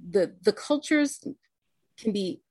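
A woman's voice: mid-range voice, lively intonation, slow pace, darkish tone, no echo. An American woman, a guest author on a podcast, speaking earnestly and thoughtfully with frequent restarts. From United States. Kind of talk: podcast interview.

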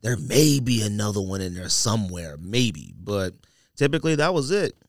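A man's voice: fairly low pitch, sing-song, normal speed, no echo, clean studio sound. An American man co-hosting a casual podcast, talking in slangy, opinionated banter and repeating himself for emphasis. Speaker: podcast co-host. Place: podcast studio.

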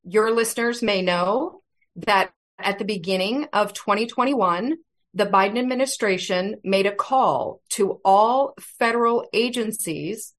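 A woman being interviewed as a guest, speaking earnestly and in a measured way. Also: medium pitch. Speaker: guest, federal whistleblower. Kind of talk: interview answers.

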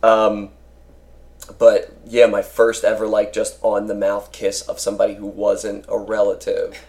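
Deep-voiced man chatting loosely. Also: completely flat delivery, measured pace, gravelly, a little mellow. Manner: rambling, swears freely, many fillers. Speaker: guest. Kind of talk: casual podcast chat.

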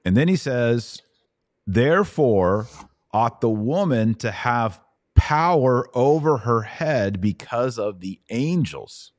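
A man's voice: mid-range voice, lively intonation, takes it slowly, darkish tone, no room sound. A man hosting a conversational Bible teaching podcast, explaining step by step and often checking that he is understood. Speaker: host and Bible teacher answering questions.

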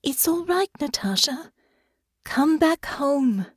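A woman narrator voicing a gentle, caring young woman in an audiobook, soft and soothing but earnest. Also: sits high, very animated, a little slow, no echo, clean studio sound, voice pushed up. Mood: gently urgent. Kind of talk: audiobook character acting.

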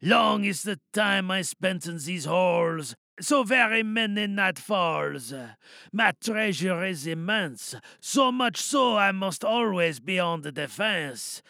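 A man performs an ancient, brooding troll of the mountain in an audio drama. The voice is high and gravelly, very bright and forceful. It declaims its rhymes with grand, wounded menace. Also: lively intonation, no echo, studio quality, exaggerated voice. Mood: brooding, aggrieved. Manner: declamatory rhymed verse, drawn-out words. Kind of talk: theatrical audio drama monologue.

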